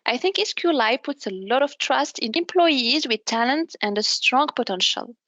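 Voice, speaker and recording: A woman in her thirties from Morocco, a native Arabic speaker, answering interview questions in a careful, measured way with some self-corrections. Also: high-pitched, animated, fairly bright tone, dry recording. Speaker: business development manager, guest.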